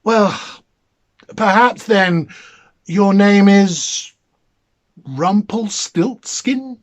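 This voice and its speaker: A man's voice: sits high, extremely slow, muffled recording, pitched above the performer's own voice. A man voicing an anxious young queen in a fairy tale, speaking in a hesitant, questioning manner. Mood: coy questioning.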